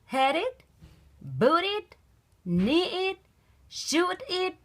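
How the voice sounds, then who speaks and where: A woman voicing an excitable young boy frog soccer player in a sing-song, exclaiming way. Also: very high voice, drawn-out words, pitched above the performer's own voice, heavily acted. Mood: chanting, cheerful.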